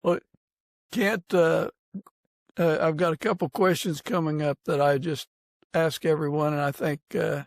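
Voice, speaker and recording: An American man interviews a guest in a relaxed, reassuring style. His voice is slightly high with plenty of fry. It is recorded dry, with little room sound. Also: unhurried, no echo. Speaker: podcast host, interviewer. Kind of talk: casual interview chat.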